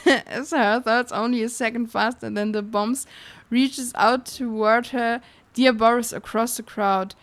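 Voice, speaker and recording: Slow-paced, higher-voiced woman streamer reading aloud. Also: steady tone. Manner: giggly, breaking into laughter while reading. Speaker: live streamer playing a video game. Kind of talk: reading game text aloud on a livestream.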